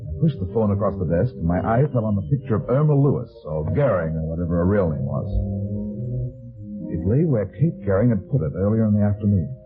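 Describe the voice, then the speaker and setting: An actor playing a tough, weary private detective, terse and deadpan, narrating his case in a 1950s radio drama. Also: on the low side, brisk. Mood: wry, matter-of-fact. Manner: terse, hard-boiled, deadpan. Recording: tinny 1950s radio recording with music.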